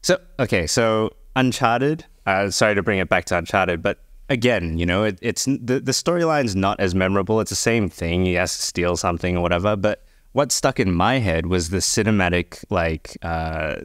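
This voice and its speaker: A man talking informally as a podcast panelist, halting and circling back as he makes his points. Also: low-pitched, dry recording, studio quality. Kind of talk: casual panel discussion about films and games.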